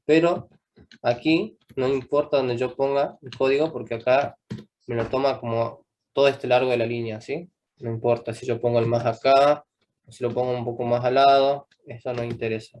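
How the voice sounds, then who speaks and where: A man's voice: medium pitch, steady tone, normal speed, a little mellow, lo-fi sound. Patient man teaching programming. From Argentina. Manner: patient, keeps checking in with "¿sí?". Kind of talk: live coding class.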